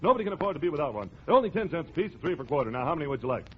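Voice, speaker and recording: A man's voice: on the high side, sing-song, very fast. An actor playing a pushy American salesman rattling off persuasive patter in a 1940s radio comedy. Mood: eager pitching. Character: pushy, fast-talking male potato peeler salesman. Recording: muffled, hissy 1940s radio recording.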